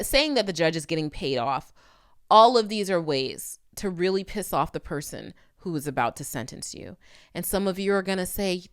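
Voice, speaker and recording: Clear-voiced woman giving emphatic commentary. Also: on the low side. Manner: emphatic, opinionated, addressing the viewer directly. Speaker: legal commentator hosting a video channel. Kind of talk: conversational legal commentary.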